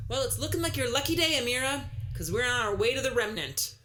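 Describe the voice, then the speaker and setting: A woman's voice: on the high side, lively intonation, fast talker, bright, forceful tone. A woman playing a curt, defiant space pirate captain in an audio drama, giving orders with brusque authority. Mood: commanding. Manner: curt, commanding.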